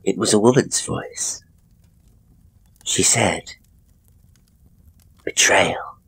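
A man voicing an ailing, frightened married woman who speaks in a halting, hushed way in a horror story. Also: deep voice, creaky, breathy edge, whispered, heavily acted. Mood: hushed, uneasy.

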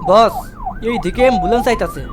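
Dim cartoon henchman acted by a man: high, fast, naive and defensive. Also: wildly swooping pitch, background noise, heavily acted. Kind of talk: animated cartoon voice acting.

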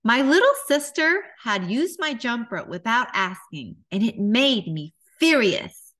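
A middle-aged woman reads aloud for young children. Her delivery is slow and deliberate, and her pitch moves in lively swings. It has the expressive shape of a storybook session. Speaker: children's author reading aloud.

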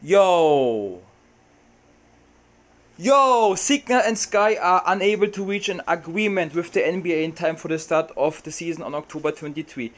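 A young man from Germany with a German accent, talking in an excitable, casual vlog style from a small room, close to the camera. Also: fairly high pitch, normal speed. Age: young adult. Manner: excitable, mixes in Tagalog words. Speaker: vlogger.

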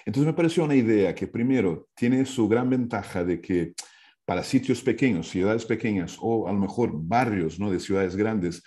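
A man's voice: fairly low pitch, dark tone. A middle-aged man from Brazil with a Brazilian accent, a native Portuguese speaker, explaining things informally like a storyteller on a headset during a video call, often adding short tag questions. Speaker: speaker sharing an idea. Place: indoor room, video call. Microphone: wired earphone headset.

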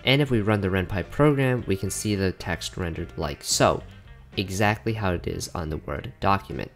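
A man giving a casual, plain-spoken scripted tutorial narration as a software developer explaining a tool. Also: sits low, moderate pace. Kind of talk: scripted explanatory narration over screen recording. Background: background music with guitar.

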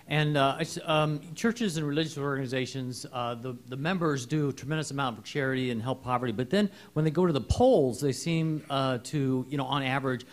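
A man in the audience asking a question, halting and restarting as he searches for words. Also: mid-range voice.

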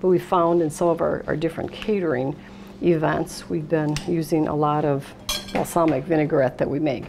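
A woman's voice: sits low, fairly even intonation, moderate pace, creaky, a little mellow. A middle-aged American woman hosting a casual cooking demonstration, talking unhurriedly through each step as she works. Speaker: cooking show host. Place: indoor kitchen, steel worktop. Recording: clattering dishes, noisy kitchen room sound.

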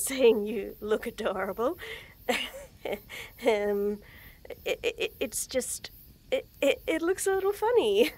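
A young woman with a slightly high voice talks slowly and gently to the listener in a scripted roleplay. She stammers nervously, giggles often and slips into soft whispers. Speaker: voice actress in a girlfriend audio.

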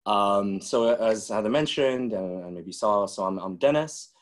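A man's voice: fairly low pitch, normal speed. A man in his twenties from the Czech Republic, speaking as a webinar guest in a loose, casual way with many "you know"s and restarts, heard over a video call. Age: 20s.

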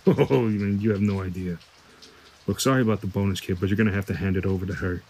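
A man's voice: sits low, fairly even intonation, fast talker, darkish tone, breathy edge. A man playing a wry, streetwise fixer in an audio drama, stammering and cursing as he talks in slang. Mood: wry, confiding. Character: shady male store-running fixer, wheedling, wry, streetwise.

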